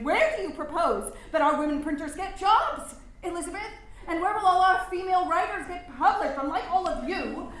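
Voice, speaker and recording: High, pointed suffragist played by an actress, very echoey. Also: female voice, a bit bright. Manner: formal, emphatic, pointed. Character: earnest, sharp-tongued, embattled 19th-century suffragist newspaper proprietress. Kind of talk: staged play reading. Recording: very echoey room.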